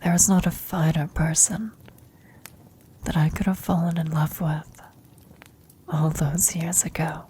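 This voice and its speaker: A woman playing a tender, serene elderly former adventurer on her deathbed in an ASMR roleplay, speaking in a reflective, breathy whisper. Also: low-pitched, steady tone, a little slow, long pauses, dark tone, a little hiss or hum. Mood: proud, loving.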